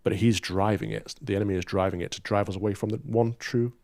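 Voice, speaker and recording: A man's voice: lowish voice, steady tone, quick pace, a little mellow, no room sound. Emphatic man in a dry-sounding clip. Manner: emphatic, insistent. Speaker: podcast guest in a played clip. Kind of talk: religious discussion.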